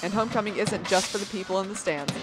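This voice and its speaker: Peppy fast teen reporter. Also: female voice, mid-range voice, loud music behind the voice. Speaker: student reporter. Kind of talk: scripted news narration.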